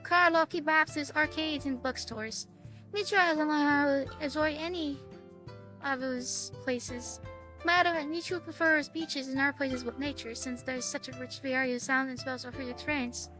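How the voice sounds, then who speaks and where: A woman doing casual gameplay commentary on a visual novel, reading its text aloud with a halting, stumbling delivery and some swearing. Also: high voice, fairly even intonation. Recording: music behind the voice. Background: music.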